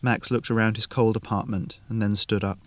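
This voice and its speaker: A man's voice: on the low side, flat delivery, fairly quick, dry recording. A man narrating a scripted short story as the storyteller.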